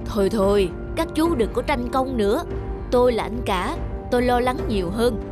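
A man plays a self-important eldest brother in a cartoon folk tale. He sounds authoritative and self-righteous as he orders people about, with music clearly behind him. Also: very high-pitched, moderate pace. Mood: indignant. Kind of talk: animated folk tale voice acting.

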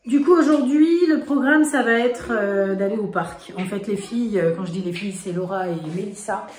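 A woman in her forties from France, hoarse today, chatting casually to a phone camera in her kitchen with many fillers and restarts. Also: mid-range voice, moderate pace, darkish tone. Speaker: family vlogger. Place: home kitchen, indoors. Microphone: phone camera at arm's length. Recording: echoey room with family noise.

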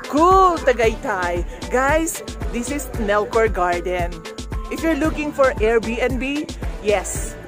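A middle-aged woman with a Filipino accent narrating a family food vlog with exclamations and cheery greetings. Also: a little high. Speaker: vlogger. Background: music.

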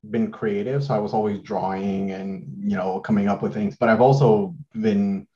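A man's voice: on the low side, highs cut off. A man in his thirties from Mexico, chatting casually as an interview guest over a video call, with lots of fillers and restarts. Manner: relaxed, halting, many fillers and restarts. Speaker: guest artist.

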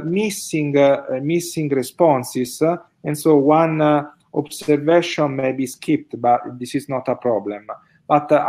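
A man's voice: fairly high pitch, darkish tone. A middle-aged man answering audience questions on a video call, speaking hesitantly with many fillers and restarts. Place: indoors at home. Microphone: video call webcam.

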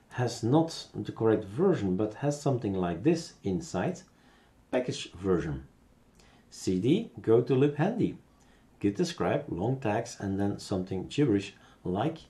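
A man with a Belgian accent giving a casual, unscripted software tutorial, thinking aloud as he works. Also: medium pitch.

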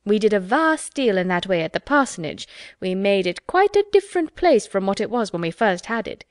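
A woman voicing an officious, self-important older widow who talks insistently and loudly. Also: medium pitch, sing-song, brisk, no echo, studio quality, natural voice. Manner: insistent, loud, fussy.

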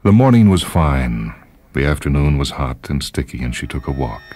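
A man reading literary short fiction as a radio host, in a measured, dramatic storytelling manner. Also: very deep voice, sing-song, darkish tone, dry recording. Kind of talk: literary scripted narration. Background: background music.

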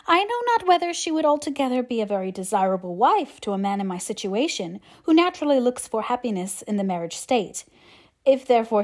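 A woman's voice: a little high, a little mellow, pitched above the performer's own voice. Woman acting a pompous, long-winded male suitor. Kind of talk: audiobook character acting.

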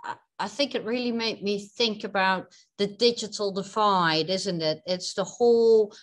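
A woman's voice: mid-range voice, measured pace. A middle-aged woman chairing an academic session over a video call from an office, thinking aloud with hesitant restarts. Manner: reflective, hesitant with fillers and restarts. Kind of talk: academic online discussion. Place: office with a whiteboard. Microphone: webcam on a video call.

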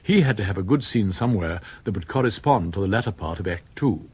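An actor playing a genial, wry author who confides in listeners as a radio drama's narrator, with a husky voice. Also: male voice, fairly low pitch, animated, fast talker, darkish tone, no room sound. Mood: wry, confiding. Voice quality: husky, breathy.

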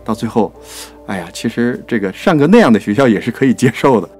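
A man's voice: mid-range voice, lively intonation, fast, darkish tone, soft background music. A man co-hosting a literary talk, chatting casually, agreeing and prompting his partner. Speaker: podcast producer and co-host.

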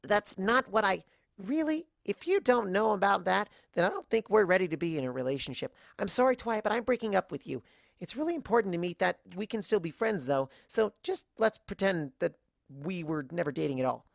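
A bouncy young storybook mare, played by a narrator, pitched up high. The delivery is chirpy and scatterbrained, cheerfully oblivious, with a dry close sound. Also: animated, a little fast, gravelly, dry recording. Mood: earnest, flighty. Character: bubbly, scatterbrained, hyperactive young mare pony.

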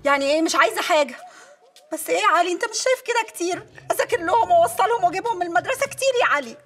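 A woman's voice: extremely high pitch, animated, racing, fairly bright tone, soft background music. A woman acting an exasperated, sarcastic mother and wife in a TV comedy-drama, with an Egyptian accent and emphatic, repetitive phrasing. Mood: worn-out, protesting.

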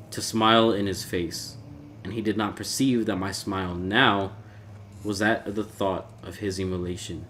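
A man giving a measured, formal, brooding dramatic reading of a classic horror story as its narrator. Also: on the low side, a bit bright. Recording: clear background noise, ambience.

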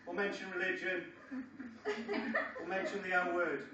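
A middle-aged man giving a joking wedding speech, telling stories with deliberate pauses. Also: high voice, very animated. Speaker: wedding speaker, best man. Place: large function room, indoors. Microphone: far camera in the audience. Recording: echoey, muffled, distant, noisy crowd. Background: audience crowd and laughter.

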